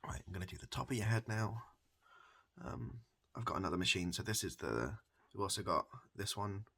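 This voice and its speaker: A soft-spoken, breathy British man improvising an ASMR comedy roleplay as a barber, rambling hesitantly with deadpan absurdity, repeated phrases and swearing. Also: lowish voice, a little fast.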